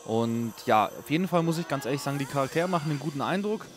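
A man's voice: mid-range voice, lively intonation, fast talker, noisy background. A man hosting a casual, unscripted video game review in a chatty, informal way. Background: music under a voice-over.

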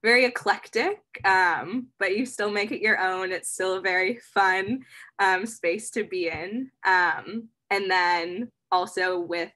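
Bright-voiced young woman on a video call. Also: medium pitch, takes it slowly. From Michigan. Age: young adult. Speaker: dental student.

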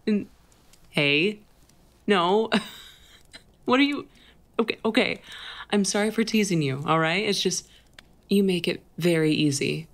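A woman playing a playful, flirty, tender best friend with a crush in an intimate, halting ASMR roleplay. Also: medium pitch. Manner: teasing, halting, giggly delivery. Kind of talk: intimate ASMR roleplay, acted one-sided conversation.

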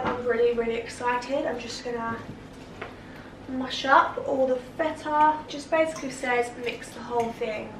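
Bubbly high-voiced young woman vlogging. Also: background noise. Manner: chatty and excitable, gushing with frequent fillers. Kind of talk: casual cooking vlog. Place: home kitchen and living room, indoors.

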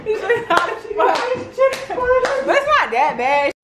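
A woman's voice: very high-pitched, big pitch swings, measured pace, recorded from a distance, heavy background noise. A young woman laughing and swearing with friends at a cabin party. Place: cabin dining room at a party. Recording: roomy, off-mic, with crowd and music.

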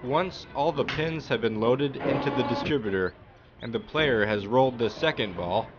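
A man giving a plain, measured voice-over that explains how a machine works. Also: medium pitch, loud music behind the voice. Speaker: narrator. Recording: dull lo-fi sound with clear background noise.

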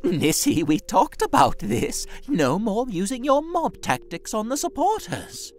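A woman's voice: fairly high pitch, sing-song, unhurried, faint music behind. A woman playing a sweet, whimsical, chatty mobster's sister in a cartoon audio drama. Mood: sweetly scolding.

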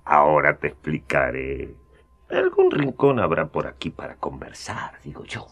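An actor playing a scheming older magistrate with a gray beard, who talks smoothly and chuckles often in a radio drama. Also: male voice, rumbling low, wildly swooping pitch, a little slow, fairly bright tone, heavily acted. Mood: sly.

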